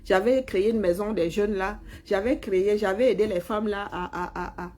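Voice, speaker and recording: A woman's voice: mid-range voice, normal speed, warm tone. A middle-aged woman, mockingly scolding a politician in a heated live-stream rant. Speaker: commentator replying to a politician.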